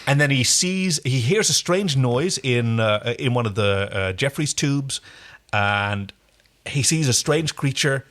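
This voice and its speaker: A man's voice: medium pitch, animated, unhurried, fairly bright tone. A man co-hosting a podcast, recapping a TV episode in casual, explanatory and jokey chat. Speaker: podcast co-host.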